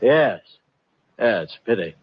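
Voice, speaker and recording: Florid old colonel swindler. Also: male voice, lowish voice, very animated, a little slow, breathy edge, no echo, exaggerated voice. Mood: courtly, smug. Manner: courtly, florid, mock-regretful. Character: genteel, smug older Southern colonel swindler. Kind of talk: 1950s radio mystery acting. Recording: dull 1950 radio broadcast.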